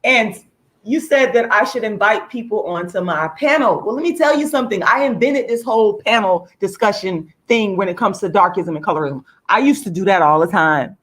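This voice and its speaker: A woman hosting a casual livestream, speaking to viewers in an informal, outspoken, emphatic way with a slightly hoarse voice. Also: medium pitch, fairly quick, fairly bright tone. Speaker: livestream host, activist.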